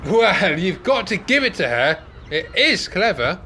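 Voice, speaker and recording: Radio play: worried, querulous old fellow male actor, very high for a man, swooping exasperated delivery. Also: big pitch swings, a little slow, bright, forceful tone, a little hiss or hum, full character voice. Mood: worried, suspicious. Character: anxious, fretful older male care-home resident, reluctant sidekick. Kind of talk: amateur radio play acting.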